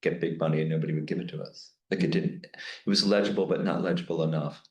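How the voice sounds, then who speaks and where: A man with a low voice talks through his thoughts in a candid, meandering way, full of restarts and asides. The sound has a noticeable room echo. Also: steady tone, fast talker, a little mellow. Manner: reflective, rambling with many restarts and fillers. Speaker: guest lecturer answering questions. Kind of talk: candid academic Q&A.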